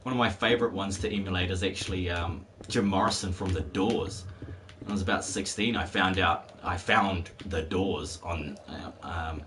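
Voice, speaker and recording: Deep-ish guy in his 40s gaming and rambling on stream, voice a bit rough from a cold, light hiss. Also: moderate pace, fairly bright tone. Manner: chatty and rambling, laughs easily. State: voice still recovering from a cold. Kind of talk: casual chat while gaming.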